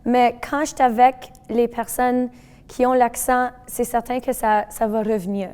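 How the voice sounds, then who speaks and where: Mellow-voiced woman teacher, reverby. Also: fairly high pitch, steady tone, unhurried, clean tone. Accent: mixed Manitoban French and English. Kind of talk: testimonial talk.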